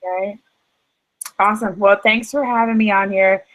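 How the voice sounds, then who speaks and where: A middle-aged woman guest, hoarse from losing her voice, telling her story in a casual, animated way and acting out her own reactions. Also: mid-range voice, moderate pace, rough recording. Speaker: interview guest, online seller.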